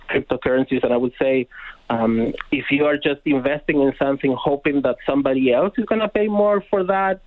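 A man from Argentina, a finance educator, explaining money slowly and carefully with many pauses, heard over a phone line. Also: a little high, no room sound. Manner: slow, measured, hesitant with fillers and restarts. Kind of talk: explaining finance on a radio call-in. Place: on a phone call into a radio studio. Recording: tinny phone line, dry.

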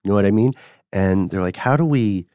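Man, very deep voice, podcast co-host, casual animated storytelling, dry close mic. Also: a little fast, dry recording. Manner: animated, rising pitch when excited, frequent "right?" check-ins.

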